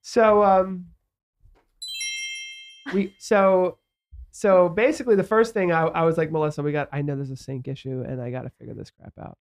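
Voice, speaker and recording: A man's voice: a little high, fairly even intonation, moderate pace, pauses often. Rambling nasal man hosting a podcast. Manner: rambling, filler-heavy, acts out quotes. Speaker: host. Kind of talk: casual studio podcast chat.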